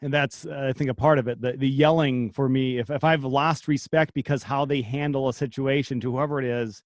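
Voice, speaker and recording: A man's voice: mid-range voice, fairly even intonation, fairly quick, dry recording. A man in his thirties hosting a podcast, asking thoughtful, reflective questions in conversation. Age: 30s. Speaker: podcast host. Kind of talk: conversational interview.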